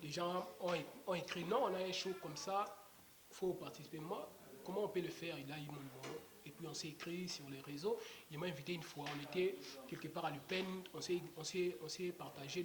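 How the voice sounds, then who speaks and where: A young Congolese man, a rapper, talking casually in an interview, rambling with lots of fillers and restarts. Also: fairly high pitch. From Democratic Republic of the Congo. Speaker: rapper guest. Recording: echoey room with clear background noise.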